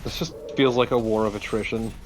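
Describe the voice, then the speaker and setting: A man's voice: lowish voice, steady tone, fairly quick, slight background noise. A man talking casually and bluntly as co-commentator on a video game let's play. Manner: blunt, informal.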